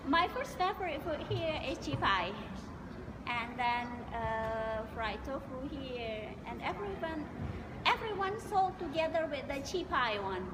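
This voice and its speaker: A woman with an Indonesian accent answering interview questions casually, explaining in an animated way and sometimes searching for words. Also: high-pitched, unhurried. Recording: echoey hall with loud background noise.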